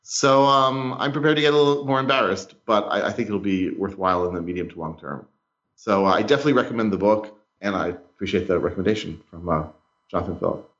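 Podcast co-host: man, lowish voice, thoughtful and self-mocking storyteller. Slightly dull sound. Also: fast, a bit bright. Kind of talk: casual podcast chat.

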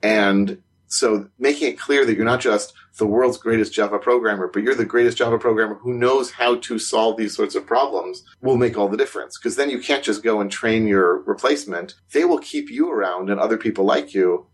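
A man co-hosting a podcast in casual conversation, animated and quick to laugh, his voice climbing when something surprises him. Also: slightly rough. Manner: animated, rising pitch on surprising points, laughs while talking. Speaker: podcast co-host, consultant.

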